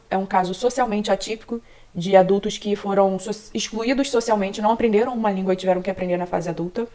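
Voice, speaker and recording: A Brazilian woman speaks as an English teacher, calm and measured as she explains step by step. Her mid-range voice carries a noticeable echo from the room. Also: medium pitch. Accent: Brazilian Portuguese. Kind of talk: explanatory video lesson.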